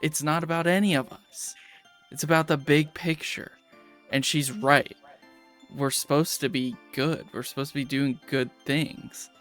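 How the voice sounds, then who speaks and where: A man's voice: a little high, steady tone, unhurried, faint music behind. A man voicing an angry adventurer who lectures his party about their choices. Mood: angry lecturing. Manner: shouting, reproachful.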